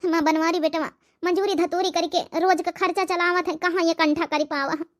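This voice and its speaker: A woman's voice: very high-pitched, steady tone, moderate pace. A woman with an Awadhi accent playing a worried, devoted elderly village mother-in-law in a cartoon comedy. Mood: fretful. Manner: fretful, pleading.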